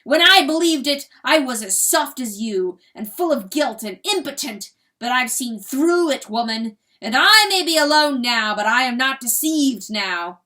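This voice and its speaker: A woman voicing a proud, cold male rooster who proclaims loudly and with contempt. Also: sits high, lively intonation, measured pace, hardly any pauses, voice pushed up, full character voice. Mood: raging proud.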